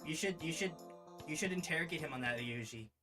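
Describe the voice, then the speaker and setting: A man hosting a livestream read-along in a casual, halting, self-deprecating way. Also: on the high side, animated, music in the background. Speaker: streamer hosting a live reading of their own series.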